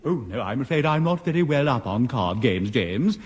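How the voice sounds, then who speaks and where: A man's voice: mid-range voice, big pitch swings, normal speed, a little mellow, slightly rough. A man playing a fussy, timid, prim bachelor, polite and easily flustered, in a 1960s British radio sitcom. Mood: prim, hesitant. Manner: flustered, polite, stammering, hesitant. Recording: old radio recording with hall reverb.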